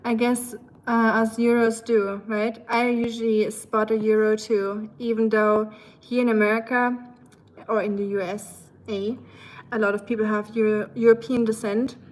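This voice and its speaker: A woman's voice: on the high side, level tone, moderate pace, slight background noise. A young woman with a German accent hosts a livestream, chatting casually and playfully and reading viewer messages aloud. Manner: chatty, laughs often, playful asides. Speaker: livestream host.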